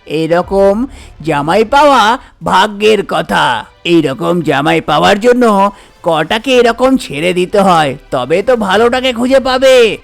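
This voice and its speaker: A woman voicing a proud older father-in-law in a cartoon tale, drawing out the words slowly. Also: medium pitch, lively intonation, vocal fry, faint music behind. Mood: proud, assured. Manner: slow, drawn-out.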